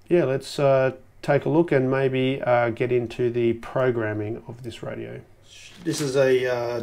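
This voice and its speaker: Gravelly Australian man reviewing gadgets. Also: mid-range voice, darkish tone. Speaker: video host and product reviewer. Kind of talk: casual hands-on gadget review to camera. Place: small home office, indoors.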